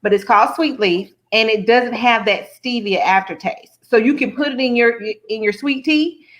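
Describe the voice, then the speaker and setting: A woman's voice: fairly high pitch, normal speed, a bit bright. An American woman giving frank, emphatic diet advice in a casual panel chat.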